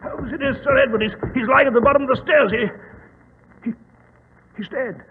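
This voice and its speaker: An actor playing a loyal, bewildered older English gentleman sidekick with a British accent, blustering and flustered, in old-time radio drama. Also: very high voice, moderate pace. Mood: alarmed. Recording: tinny, noisy old radio recording, long reverb.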